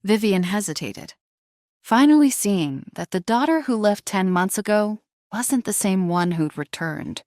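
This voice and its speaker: A woman reading scripted dramatic fiction as narrator, in a measured storytelling manner. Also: medium pitch, animated, a little mellow, no echo, clean studio sound.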